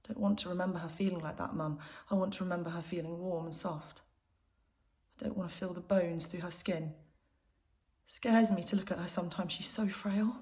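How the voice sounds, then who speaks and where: A woman's voice: fairly low pitch, steady tone, brisk, warm tone, lightly acted. A young woman acting an intimate, conversational monologue as a troubled granddaughter confiding in her mother. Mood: sad, subdued. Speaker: actor performing a self-written monologue.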